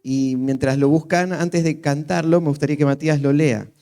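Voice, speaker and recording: A man interprets live for a church speaker. His voice sits slightly high for a man, and he renders each phrase in short consecutive turns. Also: steady tone, normal speed, dark tone.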